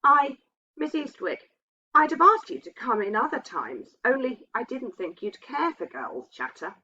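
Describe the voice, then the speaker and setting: A woman's voice: sits high, big pitch swings, unhurried, sharp tone, voice pushed up, heavily acted. A woman voicing a polite, earnest young woman in a storybook reading. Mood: warm, apologetic. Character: polite young woman, well-meaning but constrained. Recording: dull, muffled lo-fi sound.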